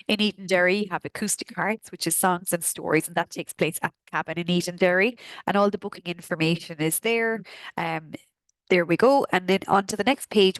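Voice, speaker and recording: A woman from Kerry with a Kerry Irish accent, hoarse from a sore throat, chattily walking through an events newsletter. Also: on the low side, no room sound, poor audio. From Kerry, Ireland. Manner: chatty, friendly, frequent ums and uhs. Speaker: arts office presenter.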